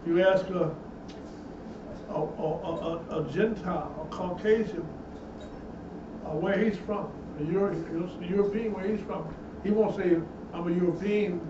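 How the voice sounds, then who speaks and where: Man preaching hard, kinda high voice, roomy echo, mic far off. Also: unhurried. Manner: emphatic, repetitive preaching with rising intensity. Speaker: minister. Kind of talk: bible teaching sermon. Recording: echoey, distant, dull room sound with noise.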